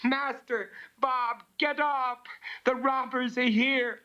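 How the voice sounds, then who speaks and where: A man's voice: very high-pitched, steady tone, takes it slowly, sharp tone, clear, resonant tone, pitched above the performer's own voice, full character voice. A man voicing a frightened but plucky young servant girl in a ghost story, urgent and breathless. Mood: alarmed, urgent. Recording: dull lo-fi recording.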